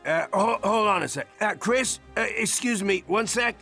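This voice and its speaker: An actor playing a good-humored rock-monster superhero with a gravelly voice in a comedic game scene. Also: male voice, high-pitched, animated, normal speed, pushed and bright, faint music behind, full character voice. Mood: polite, halting. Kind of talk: comedic game cutscene acting.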